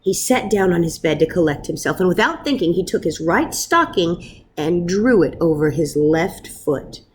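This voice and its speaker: A woman reading a children's story aloud in an expressive, dramatic manner with measured pauses. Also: lowish voice, a little hiss or hum. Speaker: storybook reader.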